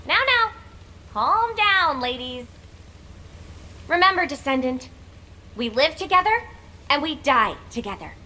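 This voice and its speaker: An actress playing a perky, peacemaking young woman in a game, animated and upbeat. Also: sits high, unhurried, pauses often, exaggerated voice. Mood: soothing, cheerful. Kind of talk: scripted game dialogue.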